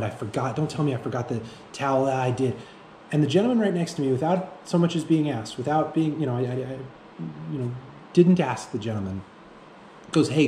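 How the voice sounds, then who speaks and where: Halting, mellow-voiced man in an echoey room. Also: medium pitch. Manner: halting, searching for words, repeating himself. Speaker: fitness vlogger. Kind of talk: reflective unscripted monologue to camera. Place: indoor room with bare walls. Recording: echoey room with faint background noise.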